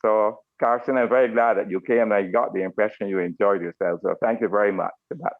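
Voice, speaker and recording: An older man with a Barbadian accent gives informal thanks on a group call, speaking in formal, deliberate phrases. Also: on the low side, steady tone. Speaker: retired military officer giving closing remarks. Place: online video meeting. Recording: narrow phone-like video call audio.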